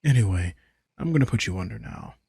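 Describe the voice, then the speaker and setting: A man playing a playful, smug vampire in an intimate roleplay audio drama, casual, sardonic and teasing, with a husky, gravelly voice. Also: low-pitched, huge pitch swings, quick pace, mellow, no room sound, clean studio sound, heavily acted. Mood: casual, coaxing. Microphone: close, dry studio microphone.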